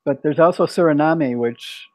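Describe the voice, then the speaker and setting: A young man chats over a video call, telling stories animatedly and restarting his sentences. His voice sits fairly high. It comes across dry and close, with no room sound. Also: normal speed, dry recording, low-quality recording. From United States. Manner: animated storytelling with frequent restarts. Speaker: host of an English practice call.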